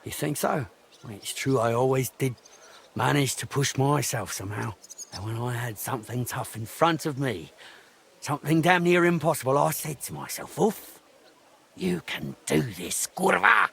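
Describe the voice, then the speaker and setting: An actor playing a middle-aged, washed-up drunken master craftsman in a video game, halting, grumbling and self-pitying, with a gravelly, husky voice. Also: medium pitch, lively intonation, fairly bright tone, exaggerated voice. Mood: hesitant, rallying. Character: ashamed washed-up drunken old master clockmaker, grumbling and reluctant. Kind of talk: video game drama acting.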